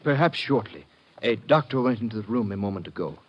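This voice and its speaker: An actor playing a dutiful police guard, deferential and formal, in a 1950s-style radio drama. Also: male voice, fairly low pitch, lively intonation, a little fast. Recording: dull, muffled vintage radio recording.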